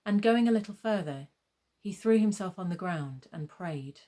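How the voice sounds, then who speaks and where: A woman's voice: mid-range voice, animated, moderate pace, dark tone. A woman narrating a gospel passage as a scripted scripture reading.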